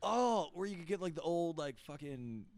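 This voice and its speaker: A man's voice: a little high, expressive, normal speed, a little mellow. A young man hosting a podcast in a laid-back casual chat, swearing often and saying "like" a lot. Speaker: podcast host.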